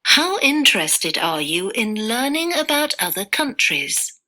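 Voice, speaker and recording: A woman interviewer slowly reading set questions and repeating each one clearly. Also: on the high side, very animated, bright, forceful tone.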